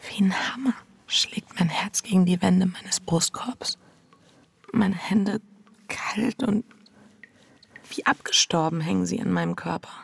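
An actress playing an anxious, guilt-ridden married woman in a German radio drama, speaking a tense, breathy inner monologue. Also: on the low side, measured pace, long pauses, full character voice. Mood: numb shock. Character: anxious, guilt-ridden bourgeois adulterous wife. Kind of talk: German radio drama acting with first-person narration.

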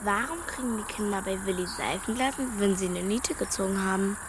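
A woman's voice: medium pitch, fairly quick, noisy background, natural voice. A woman playing a frank, stubborn young schoolgirl in a naturalistic children's radio drama, speaking plainly. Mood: matter-of-fact.

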